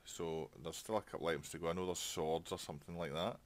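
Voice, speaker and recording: A man's voice: deep voice, fairly even intonation, fast talker. A man giving casual let's play commentary, chatting and thinking aloud as he plays. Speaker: gaming channel host.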